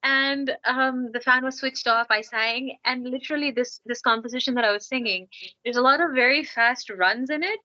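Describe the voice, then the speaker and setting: A woman from Canada, a singer and podcast guest, telling an animated anecdote and laughing often. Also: high voice, normal speed, no echo, dull audio. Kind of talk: casual anecdote in an interview.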